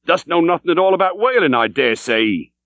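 A man's voice: big pitch swings, a little fast, a bit bright, no room sound, highs cut off. A man voicing a fierce, blustering old male sea captain who barks archaic questions. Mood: gruff demanding. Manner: booming, archaic, interrogating.

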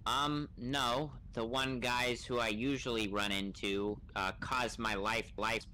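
A man's voice: medium pitch, fairly even intonation, slow pace, sharp tone, a little hiss or hum. A man talking casually in character in an online role-playing game over a voice chat microphone.

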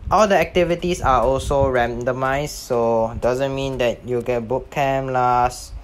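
A man's voice: mid-range voice, steady tone, a little slow, clear, resonant tone. A man giving a casual, step-by-step video game walkthrough in an informal, somewhat hesitant way. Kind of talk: casual game tutorial commentary.

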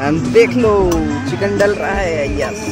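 A young man from Jharkhand, India, with a high voice talks eagerly to his viewers on a trip into the woods, calling them brother and friend. He records outdoors on an action camera in his hand. Clear music runs under his voice. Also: slow pace. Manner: excited, chatty, addresses viewers as bhai and yaar. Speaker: travel vlogger. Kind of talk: casual travel vlog narration. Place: outdoors at a forest picnic spot by a waterfall. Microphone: action camera or phone held by the speaker.

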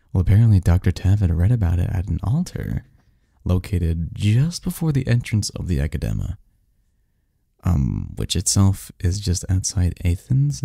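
A man playing a snarky, cynical research assistant in an intimate ASMR audio roleplay, wry and halting, with a bookish streak. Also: low-pitched, animated, creaky, dark tone, breathy edge, no echo, clean studio sound, exaggerated voice. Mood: wry, lecturing. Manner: wry, halting and chuckling, drifts into bookish lecturing. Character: snarky, cynical male research assistant in classics, nerdy, fond.

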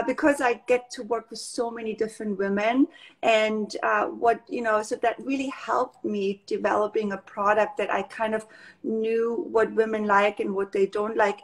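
A woman's voice: a little high, poor audio. Woman chatting on a video call. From Austria. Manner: chatty, warm, with many fillers and restarts. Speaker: guest, makeup artist. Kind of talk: casual video call interview. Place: living room at home.

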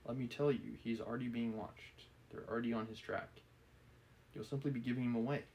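An obsessive gentleman villain from a novel, read by a man, insistent and menacing as he persuades. The voice is mid-pitched, with clear noise on the recording. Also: steady tone, brisk, lightly acted, rough recording. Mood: urgent persuading. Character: obsessive, menacing gentleman pleading with and cornering a woman. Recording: clearly audible background noise.

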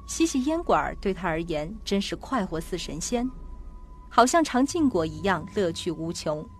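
A woman's voice: lowish voice, big pitch swings, normal speed. Woman narrating a suspense drama over music. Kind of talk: scripted audio drama narration. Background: background music.

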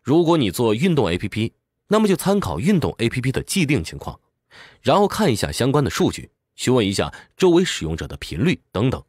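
A man's voice: mid-range voice, wildly swooping pitch, brisk, a little mellow, no room sound, studio quality. A man reading a scripted explanatory book summary in a structured, instructive way.

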